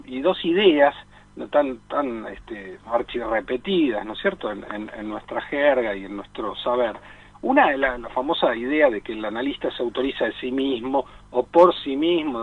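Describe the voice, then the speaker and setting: A man in a reflective radio discussion, speaking haltingly, repeating himself and often adding tag questions. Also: medium pitch, breathy edge, phone-quality sound. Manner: halting, repetitive, peppered with rhetorical tag questions. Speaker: radio talk co-host discussing psychoanalysis.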